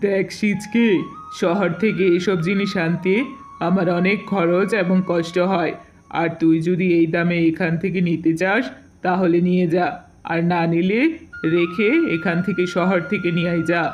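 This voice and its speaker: A woman's voice: on the low side, flat delivery, moderate pace, darkish tone, soft background music, close to an everyday voice. A woman voicing a greedy, cheating male tiger shopkeeper in a cartoon, brusque and sly. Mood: brusque, dismissive.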